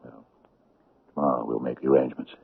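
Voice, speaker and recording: An extremely deep, creaky older man's voice, a mourning father who is gentle and worn out. He speaks hesitantly, in old radio-drama style on a narrow, muffled recording. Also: flat delivery, heavily acted. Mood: weary. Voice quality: gravelly. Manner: hesitant, soft-spoken, halting. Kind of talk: 1970s radio drama acting. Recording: muffled 1970s radio broadcast.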